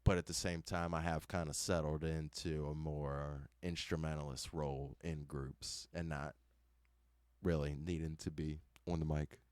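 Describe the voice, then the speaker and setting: A man in his twenties, a band member, answering interview questions casually with many hesitant pauses. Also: a bass voice, smooth, no room sound, clean studio sound. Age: 20s. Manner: relaxed, hesitant, many ums.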